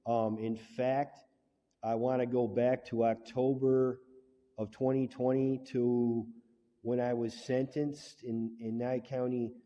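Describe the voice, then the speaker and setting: A man speaking as a caller lodging a formal complaint, in an emphatic, halting, legalistic way, on a muffled and reverberant recording. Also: mid-range voice, little pitch movement, dark tone. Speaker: caller to a government agency.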